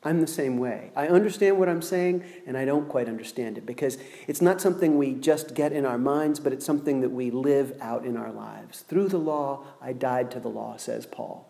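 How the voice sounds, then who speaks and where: A man preaching a sermon, slow and deliberate, with dramatic pauses between words. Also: mid-range voice, gravelly, mellow. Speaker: pastor. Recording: long hall reverb.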